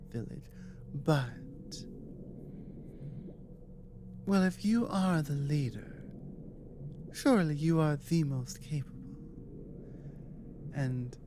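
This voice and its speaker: Woman acting a slow, low dragon queen. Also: noisy background, heavily acted. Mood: commanding, wistful. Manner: slow, soft, regal, coaxing. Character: dominant female dragon lord, last of her species. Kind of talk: ASMR roleplay.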